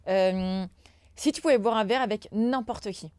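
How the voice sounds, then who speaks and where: A young woman from France hosting a podcast, chatting casually in a lively, slangy way. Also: medium pitch, normal speed, clean tone, no room sound. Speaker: podcast host.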